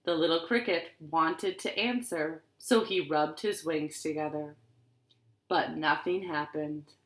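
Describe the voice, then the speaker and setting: A woman reading stories and rhymes aloud to young children in an expressive, deliberate way, recorded in a living room. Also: low-pitched. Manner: expressive, deliberate, asks the listeners questions. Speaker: children's librarian storyteller. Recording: roomy, echoing living-room sound.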